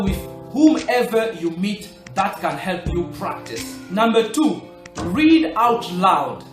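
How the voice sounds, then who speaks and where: Man, French native, high voice, earnest coaching tone, roomy echo, faint music underneath. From Republic of the Congo. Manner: earnest, coaching, stresses key points. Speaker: language-learning vlogger. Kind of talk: motivational talk to camera.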